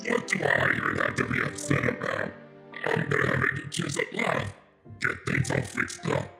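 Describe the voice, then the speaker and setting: A man voicing a weary, self-doubting animatronic alligator in a comic dub, gruff and brooding as he talks to himself. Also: completely flat delivery, moderate pace, exaggerated voice. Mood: forlorn. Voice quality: deep, gravelly growl. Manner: brooding monologue, muttering to himself. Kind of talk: dramatic comic dub monologue. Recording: loud music behind the voice.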